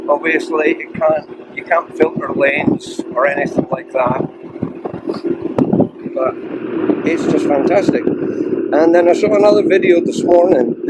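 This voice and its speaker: A Scottish man chatting enthusiastically while riding, his voice croaky, rambling with fillers as he goes. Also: medium pitch. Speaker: motorcycle vlogger. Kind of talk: casual ride-along chat. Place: outdoors riding a three-wheeled motorcycle. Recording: loud engine and road noise.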